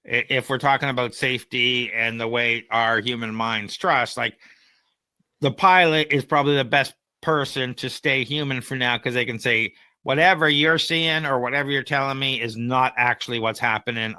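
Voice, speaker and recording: A man's voice: mid-range voice, steady tone, normal speed, sharp tone, smooth, dry recording. A man co-hosting a podcast, giving opinions in casual conversation and explaining them with everyday examples. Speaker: podcast co-host and AI consultant.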